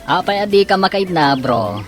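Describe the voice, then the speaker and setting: Eager young Ilocano man, expressive. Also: high-pitched, moderate pace, music in the background. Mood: friendly. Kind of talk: Ilocano radio drama acting.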